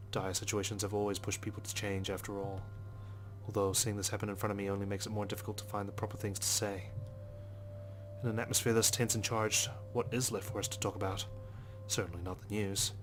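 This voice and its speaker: A man reading as a brooding police detective who narrates a visual novel in a measured, solemn way. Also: on the low side, fairly bright tone, slight background noise, lightly acted. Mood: pensive. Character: brooding, guilt-ridden male police detective narrating inwardly. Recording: music behind the voice.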